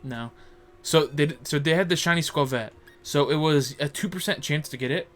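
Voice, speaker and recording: A man's voice: a little high, moderate pace, a bit bright. A young man co-hosting a podcast in casual chat, rambling and crude, often correcting himself mid-sentence. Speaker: podcast co-host.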